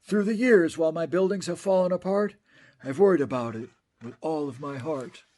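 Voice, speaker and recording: A man's voice: a little high, normal speed, darkish tone. A man reading a children's story aloud in rhythmic, theatrical rhyme. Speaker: storybook reader.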